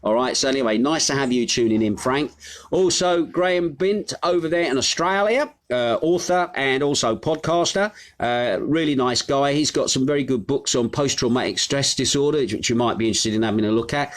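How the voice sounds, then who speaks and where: A man's voice: medium pitch, a little fast. A middle-aged man from the United Kingdom hosting a live stream from his home, chatting casually and reading out viewers' names with plenty of fillers. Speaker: host of a live news stream. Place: his home on a Greek island.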